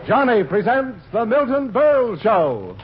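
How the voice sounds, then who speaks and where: A man's voice: extremely high pitch, big pitch swings, a little slow. A man announcing a live radio comedy show in a formal, polished manner and playing the dry straight man. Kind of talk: 1940s radio announcing and comic banter. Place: radio studio theater. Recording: muffled vintage radio broadcast with orchestra music.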